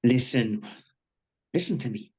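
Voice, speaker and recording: An actor in a dramatic table read playing a wounded, bitterly sarcastic man who speaks in a halting, urgent way. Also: mid-range voice, expressive, mellow, husky. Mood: grim, urgent. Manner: halting, urgent, foul-mouthed. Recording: dull lo-fi online call audio.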